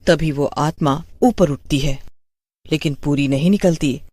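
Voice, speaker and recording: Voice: mid-range voice, a little slow, darkish tone, no room sound, clean studio sound. A narrator reading a scripted horror story.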